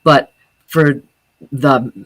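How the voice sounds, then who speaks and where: A woman with a notably low speaking voice talks as a guest about her sound healing teaching. She explains in an earnest, steady way, often pausing on fillers and restarting phrases. The recording is dry, with no room echo.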